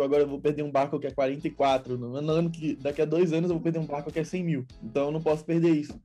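A young Brazilian man talks as an interview guest, rambling informally as he explains. His voice is mellow and a touch high, with faint music behind it. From Salvador, Bahia, Brazil. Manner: informal, rambling, quoting himself in dialogue. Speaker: interview guest, crypto investor. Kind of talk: casual chat, explaining.

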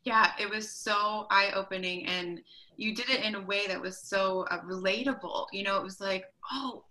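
A woman's voice: medium pitch, steady tone, normal speed, bright, forceful tone, low-quality recording. A woman hosting an interview, asking questions with enthusiasm and some hesitant fillers. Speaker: interviewer host.

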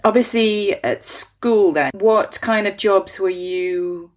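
A woman's voice: mid-range voice, slow pace, slight background noise. A woman hosting a podcast, chatty and upbeat, joking and laughing easily as she interviews a guest. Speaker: podcast host. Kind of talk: casual conversational interview.

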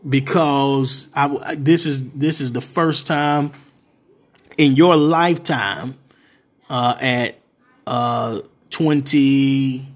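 Slow, halting man hosting an interview. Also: medium pitch. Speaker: interview host.

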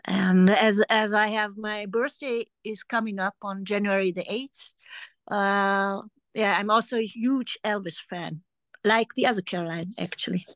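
A woman's voice: medium pitch, fairly even intonation, unhurried, fairly bright tone, no room sound. A woman in her forties from Germany, a German speaker talking in English as a podcast guest, hesitant with many ums and restarts. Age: 40s. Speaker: interview guest. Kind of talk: casual conversation.

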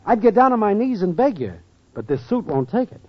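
Cocky, scheming American boyfriend: swooping slangy patter, vintage radio comedy, muffled hiss. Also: male voice, high-pitched, sing-song, a little fast. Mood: wheedling. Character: scheming, broke, fast-talking male sitcom boyfriend. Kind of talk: 1940s-50s radio comedy acting. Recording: dull, noisy old radio recording.

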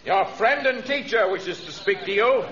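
An actor playing a noble, commanding lord of the jungle in formal, declamatory 1930s radio adventure style. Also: male voice, high voice, lively intonation, normal speed, a bit bright. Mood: commanding oratory. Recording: dull old radio transcription.

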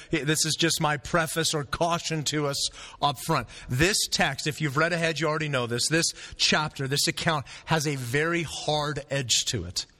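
Earnest pastor preaching with a bright voice. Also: male voice, a little high. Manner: earnest, repeats phrases for emphasis. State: sore throat, losing voice. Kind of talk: sermon.

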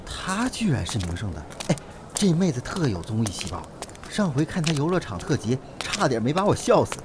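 A man acts a fussy, excitable talent agent in an audio drama. His middle-pitched voice is lively and varied, cautious in its wording. There is noticeable noise in the background. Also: brisk, lightly acted. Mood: excited, gawking. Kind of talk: Chinese audio drama acting.

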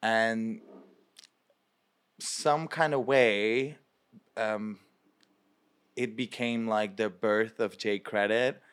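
Young man from Belgium, drag performer, low smooth voice, rambling animated interview talk. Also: extremely slow, pauses often. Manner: chatty, lots of fillers, animated quoting. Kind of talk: casual interview.

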